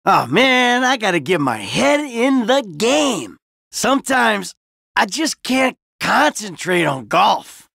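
Video game role: weary middle-aged American ex-crook, sarcastic and short-fused, forceful bright tone, unhurried delivery. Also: male voice, sits high, sing-song, gravelly, dry recording, studio quality, full character voice. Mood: frustrated. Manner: sarcastic, grumbling, foul-mouthed. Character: weary, sardonic, hot-tempered middle-aged retired male criminal. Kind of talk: acted video game voice lines.

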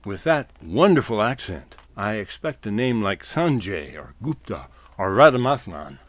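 Wry, animated man reading an essay. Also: on the low side, unhurried, no room sound. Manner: wry, literate storytelling. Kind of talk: scripted humorous essay narration.

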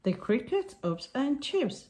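A woman reading a picture book aloud for small children in simple, deliberate sentences. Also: sits high, very animated, darkish tone.

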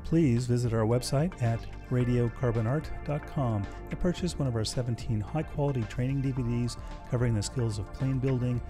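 A man reading scripted instructional narration in a measured, formal way. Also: medium pitch. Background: music.

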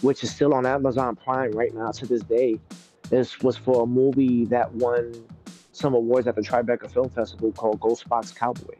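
A man in his thirties with a New York accent, chatting casually as an interview guest, relaxed and rambling with many fillers. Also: mid-range voice, normal speed, faint music behind. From Brooklyn, New York. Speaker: guest artist. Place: outdoors in a city courtyard.

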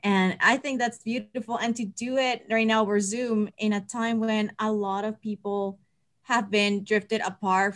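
A woman in her twenties talking casually and personally on a video call, earnest and heartfelt. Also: medium pitch. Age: 20s. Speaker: amateur stage actor. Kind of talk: personal, casual panel talk. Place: indoor room on a video call.

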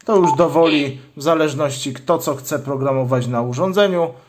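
Male tutorial host, voice a bit on the high side, methodical hands-on instruction. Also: moderate pace, slight background noise. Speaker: channel host, product demonstrator.

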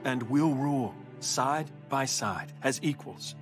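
An actor playing an earnest, wounded superhero in a dramatic video game scene. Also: male voice, medium pitch, slow pace, far from the mic, lightly acted. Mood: tender. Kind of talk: video game cutscene acting. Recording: loud music, voice off the mic.

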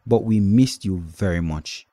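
A man voicing a gentle, worried girl who speaks softly and carefully in a storybook reading. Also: low-pitched, unhurried, no echo. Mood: earnest. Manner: soft, earnest and careful.

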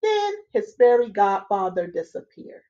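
A woman's voice: medium pitch, measured pace. A young woman reading a children's storybook aloud expressively at home on a webcam. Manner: expressive, animated. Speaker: read-aloud reader. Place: room at home, indoors. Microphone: webcam at a desk. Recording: dull lo-fi webcam audio.

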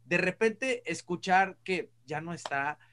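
A man's voice: high voice, expressive, takes it slowly, fairly bright tone. A middle-aged man with a Mexican accent co-hosting a talk show, telling anecdotes in a casual, rambling, emphatic way. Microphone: video call.

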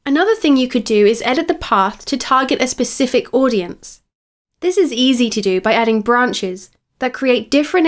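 A woman presenting a software tutorial in scripted instructional narration, explaining clearly step by step. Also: on the high side.

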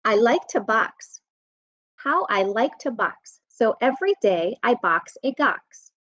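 An American woman, around her thirties or forties, reading a rhyming children's book aloud in an expressive, sing-song way. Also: a little high, slow pace, dry recording. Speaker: children's storytime reader. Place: room at home. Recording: dull, muffled webcam audio.

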